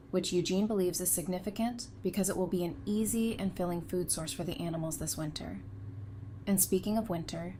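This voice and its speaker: A woman's voice: lowish voice, noisy background, natural voice. A woman playing a frank, devoted survivor on a community council in an audio drama.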